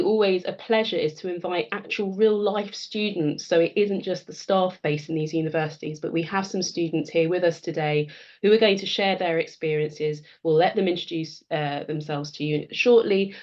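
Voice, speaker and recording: A woman's voice: deep voice, a little mellow. A woman hosting an event and giving a welcoming introduction, on slightly dull online call audio. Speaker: event host.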